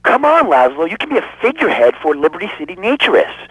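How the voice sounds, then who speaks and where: An actor playing an earnest, zealous nudist crank who phones a radio show and declaims insistently. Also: male voice, sits high, huge pitch swings, fast talker, fairly bright tone, slightly rough, no echo, full character voice, rough recording. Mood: evangelising.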